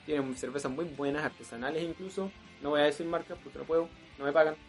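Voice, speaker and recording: Young chilean guy, podcast host, slangy, loud bursts, highish voice, faint guitar behind. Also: steady tone, fast. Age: young adult. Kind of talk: casual chat over beers.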